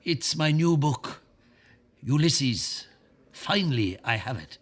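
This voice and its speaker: An actor playing a wry, talkative Irish novelist in a radio drama, slightly husky and rambling in an unhurried, teasing way. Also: male voice, mid-range voice, animated. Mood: modest pride.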